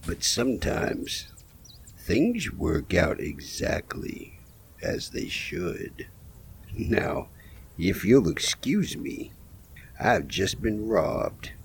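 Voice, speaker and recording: A man acts out a theatrical, macabre gravedigger narrating an audio horror play. His voice is low and gravelly, with wide swings in pitch. He takes his time like a practiced campfire storyteller. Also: wildly swooping pitch, very slow, heavily acted. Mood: wryly macabre. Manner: wry, theatrical. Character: folksy gravedigger narrator of small-town horror tales, wryly macabre. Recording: clearly noisy recording.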